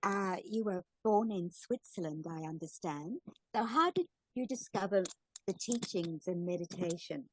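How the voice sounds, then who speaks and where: A woman hosting an interview, introducing her guest from a script and then asking questions in a warm, welcoming manner. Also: lowish voice, expressive, unhurried, slight background noise, rough recording. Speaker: interviewer, host.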